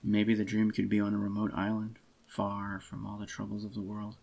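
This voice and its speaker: A man doing a soft-spoken, intimate ASMR roleplay as an adoring, possessive boyfriend, in a slightly groggy, unhurried murmur. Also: fairly low pitch, completely flat delivery, vocal fry. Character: adoring possessive young boyfriend, tender and clingy. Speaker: ASMR voice actor.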